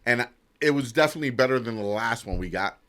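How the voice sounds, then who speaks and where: A man's voice: medium pitch, lively intonation, quick pace, fairly bright tone, slight background noise. A man co-hosting a podcast, talking casually with the panel. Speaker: podcast co-host.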